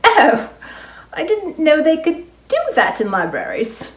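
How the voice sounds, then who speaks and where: A woman's voice: high-pitched, wildly swooping pitch, measured pace, reverberant, exaggerated voice. A woman playing a prim, reserved, genteel lady librarian in a dramatized novel, speaking politely and properly. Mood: politely curious.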